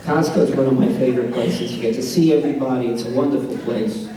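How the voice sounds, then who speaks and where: A man's voice: medium pitch, steady tone, quick pace, warm tone. A man in his sixties with a New York accent, doing a self-mocking stand-up set with storytelling punchlines at a benefit event. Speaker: amateur stand-up comedian. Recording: echoey room, distant mic, audience. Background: audience laughter.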